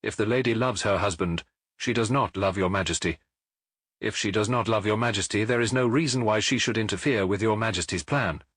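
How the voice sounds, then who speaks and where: A man's voice: fairly low pitch, brisk, fairly bright tone, husky, dry recording. A man voicing a cool, incisive detective with precise, formally polite speech, as in an audiobook. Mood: assured. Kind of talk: audiobook character acting.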